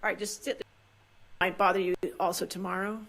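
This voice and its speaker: A woman judge speaking off the cuff in court, brisk and informal. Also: mid-range voice, level tone, gravelly, a bit bright. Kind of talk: spontaneous courtroom speech.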